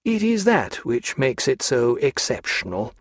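Prim apologetic clerk, done by a man, drawn-out words, dry sound. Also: mid-range voice, huge pitch swings, dry recording. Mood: fussy polite. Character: fussy polite male government clerk.

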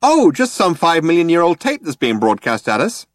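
A chirpy ship's computer from a science fiction story speaks far up in pitch. It is breezy and over-eager, with an animated lilt. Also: male voice, normal speed, no echo, studio quality.

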